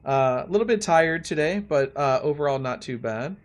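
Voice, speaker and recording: A man's voice: on the high side, expressive, normal speed. A man in his late thirties chatting casually and in a friendly way with viewers while he plays a game on a livestream, often thinking aloud. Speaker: live streamer playing a game.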